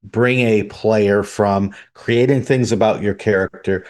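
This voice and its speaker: A man's voice: on the low side, fairly even intonation, a little slow, darkish tone. A man hosting a podcast, methodically explaining his points in order. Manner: methodical, lays out numbered points. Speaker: podcast host.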